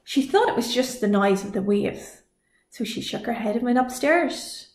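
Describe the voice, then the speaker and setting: A woman reading a children's story aloud in an expressive storytelling way. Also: fairly high pitch, normal speed, background noise. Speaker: librarian reading a picture book. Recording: echoey room.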